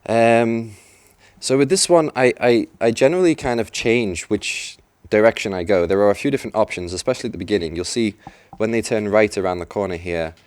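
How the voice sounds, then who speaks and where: Deep-voiced male esports commentator. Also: fairly quick, dry recording. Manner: chatty, explanatory, some restarts. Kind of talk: live game commentary. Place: event venue.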